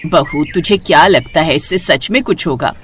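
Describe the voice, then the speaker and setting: A woman acts out an older village mother-in-law for a moral cartoon. She sits lower in pitch and sounds skeptical and bossy, scolding in a homely, traditional way. There is faint noise in the recording. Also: normal speed, close to an everyday voice. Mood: doubtful. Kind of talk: Hindi moral-story cartoon acting.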